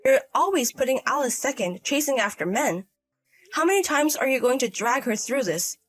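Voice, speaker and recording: A woman's voice: high voice, animated, normal speed. A woman voicing a stern older mother and grandmother in a story drama, scolding and firm. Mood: scolding, exasperated. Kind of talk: acted dialogue in a narrated drama story.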